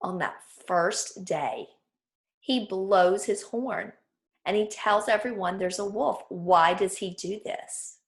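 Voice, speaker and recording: A woman teaching children through a read-aloud, explaining things clearly and putting questions to her listeners. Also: medium pitch, slow pace.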